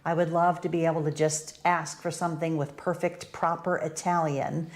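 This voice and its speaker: Older American woman, co-host, chatty and upbeat; slightly low pitch, easy conversational delivery. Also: level tone, normal speed. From United States. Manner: chatty, upbeat, leads the questions. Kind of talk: casual Q&A chat.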